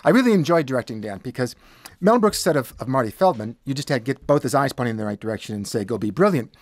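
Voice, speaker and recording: A man's voice: medium pitch, lively intonation, fast talker, no echo. An older man speaking casually in an interview as an audiobook director, recorded in a small studio.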